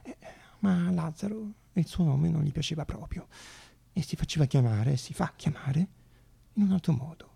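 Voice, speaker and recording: A male stage actor tells a story in a fairly high voice that crackles with heavy fry. His delivery is theatrical, with deliberate dramatic pauses and a soft, mellow edge.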